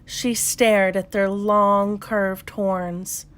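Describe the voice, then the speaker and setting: A woman narrates a children's storybook in an expressive, scripted read-aloud style. She takes her time with a slow pace and keeps a medium pitch. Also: clear, resonant tone.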